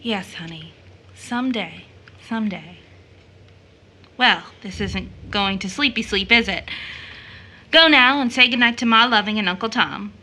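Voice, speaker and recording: A woman's voice: mid-range voice, a little slow, long pauses, sharp tone, slight background noise. A woman playing a tender, wistful mother figure, soothing and affectionate in an audio stage drama. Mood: hopeful, sad.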